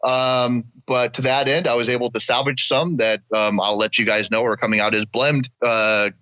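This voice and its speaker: A man's voice: mid-range voice, steady tone, moderate pace, bright, forceful tone, dry recording. A man from Missouri, a podcast guest, chatting loosely about his products with casual swearing. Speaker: guest, business owner. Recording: dull dry lo-fi sound.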